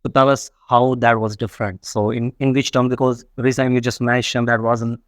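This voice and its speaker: A man hosting a podcast interview, speaking earnestly in careful, slightly halting phrases. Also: mid-range voice, little pitch movement, no room sound, clean studio sound. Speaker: podcast host.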